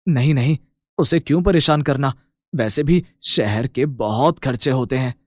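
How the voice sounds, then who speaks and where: A man's voice: mid-range voice, a little slow, darkish tone, no echo, clean studio sound, close to an everyday voice. A man playing a humble village farmer and caring elder brother, earnest, fretful and affectionate, in a storybook tale. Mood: reluctant.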